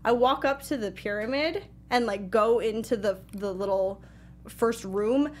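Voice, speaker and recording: A woman co-hosting a gaming talk show, telling casual stories in an expressive way and acting out her reactions. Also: on the high side, unhurried. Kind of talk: casual chat, anecdote telling.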